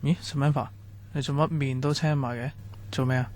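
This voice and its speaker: A man plays an easygoing young student in a radio drama. His pitch is middling. He chats casually and sounds unworried. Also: fairly even intonation, fairly quick, a little mellow, slight background noise, close to an everyday voice. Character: breezy young male student, reassuring classmates. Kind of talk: Cantonese radio drama acting.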